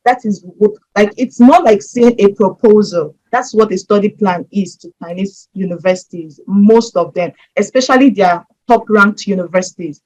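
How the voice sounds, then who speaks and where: Steady Nigerian woman explaining step by step. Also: mid-range voice, normal speed, few pauses, dull audio. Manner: steady, methodical, advising listeners directly. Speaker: scholarship advice presenter. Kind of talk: instructional explainer.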